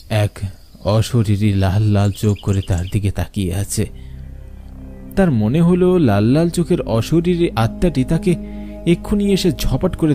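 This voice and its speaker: A man's voice: medium pitch, warm tone. A man narrating a scripted horror story.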